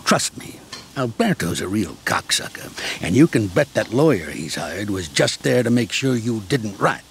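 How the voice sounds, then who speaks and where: Gravelly old-school mobster mentor. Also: male voice, on the low side, normal speed, a little hiss or hum. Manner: streetwise, lively, explains patiently. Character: older male mobster inmate, seasoned and reassuring mentor. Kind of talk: video game cutscene acting.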